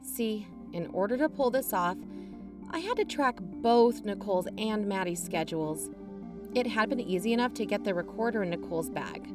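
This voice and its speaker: A woman playing an investigating aunt who narrates in a measured, explanatory way. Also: medium pitch, close to an everyday voice. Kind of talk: scripted audio drama narration.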